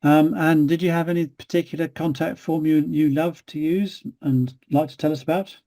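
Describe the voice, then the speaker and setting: A man hosting an online meetup on a video call, relaxed and chatty as he welcomes people. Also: on the high side, normal speed. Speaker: meetup organiser. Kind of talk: casual video call chat.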